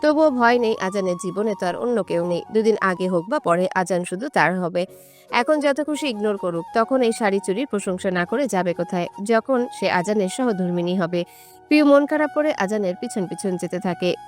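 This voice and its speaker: A woman's voice: medium pitch, expressive, normal speed. Female narrator for romantic audio fiction, mid-range voice, music underneath. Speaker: story narrator. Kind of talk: scripted dramatic narration of a romantic story.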